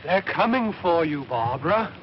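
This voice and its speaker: Noisy old film, teasing young brother. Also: male voice, fairly high pitch, sing-song, moderate pace, lo-fi sound. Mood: mocking, spooky. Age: young adult. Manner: taunting, playful, theatrical drawl. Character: teasing, mischievous young brother, mocking and spooky. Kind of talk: 1960s low-budget horror film acting.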